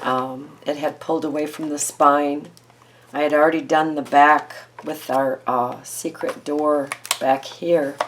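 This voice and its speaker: A hoarse woman with a froggy voice hosting a casual crafting tutorial, chatting and thinking aloud with ums as she works. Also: sits low, slight background noise. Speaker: craft video host.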